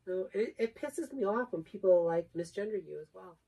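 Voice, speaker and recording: A woman's voice: medium pitch, moderate pace, dark tone, slightly rough. A younger woman thinking aloud in a casual chat with her partner, analytical and reflective, searching for her words. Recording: distant mic.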